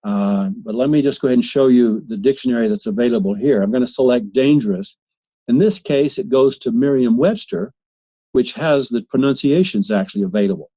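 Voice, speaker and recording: A man presenting a software demo in a webinar, talking haltingly with many fillers and restarts. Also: mid-range voice. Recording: slightly muffled webinar audio.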